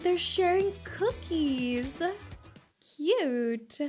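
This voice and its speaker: Playful high-voiced woman streamer. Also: lively intonation, extremely slow, darkish tone, soft background music. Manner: playful, giggly, reacts to game dialogue. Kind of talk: casual gameplay commentary.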